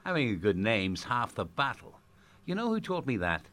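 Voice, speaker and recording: A man playing a grandiose, theatrical radio host in a dark audio drama. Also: medium pitch, huge pitch swings, moderate pace, no room sound. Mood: pompous musing. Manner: pompous, cryptic, showmanlike. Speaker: radio presenter character.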